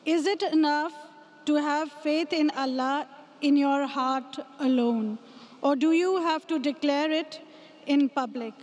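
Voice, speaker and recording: A woman in an audience slowly relaying another person's question into a microphone. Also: sits high, darkish tone, a little hiss or hum. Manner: slow, deliberate reading of a question.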